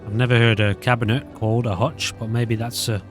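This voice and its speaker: A man's voice: mid-range voice, level tone, fairly quick. A man giving casual let's-play commentary, chatty and musing aloud to himself. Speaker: gaming video host. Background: guitar music.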